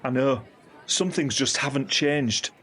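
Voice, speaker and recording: An actor playing a candid, caring middle-aged uncle in an audio drama, speaking in an earnest, reflective, explanatory way. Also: male voice, medium pitch, measured pace, a bit bright, husky. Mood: troubled, indignant. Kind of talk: scripted audio drama dialogue.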